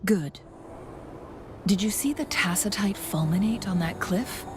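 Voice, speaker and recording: An actress playing a thoughtful young woman companion in a game, speaking in a measured, reflective way and often musing softly. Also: mid-range voice, animated, close to an everyday voice. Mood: pondering. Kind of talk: scripted game dialogue. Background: game music.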